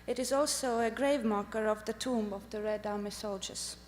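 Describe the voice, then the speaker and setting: A woman from Estonia, a native Estonian speaker, giving a conference presentation about her art research, speaking carefully with many pauses and "uh" fillers. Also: mid-range voice. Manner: careful, halting delivery with frequent "uh" pauses. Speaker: artist presenting at a summit. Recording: echoey hall with faint hum.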